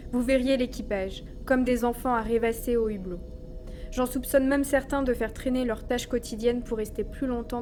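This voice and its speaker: Female, fairly high voice: actress as an awed astronaut dictating a spaceship log, articulate, music softly behind. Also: close to an everyday voice. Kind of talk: scripted audio-log monologue.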